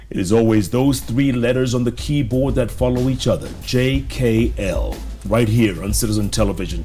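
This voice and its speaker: A man with a Kenyan English accent hosting a live TV talk show, upbeat and animated as he signs off. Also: medium pitch.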